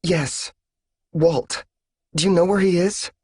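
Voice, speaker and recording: Man doing a young woman, pleading, choked, urgent, much higher than his voice. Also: fairly even intonation, slow pace, a little mellow, husky, no room sound, clean studio sound, exaggerated voice.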